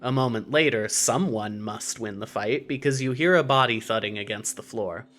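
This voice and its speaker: A man narrating scripted fiction in a measured, wry manner. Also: medium pitch, a bit bright.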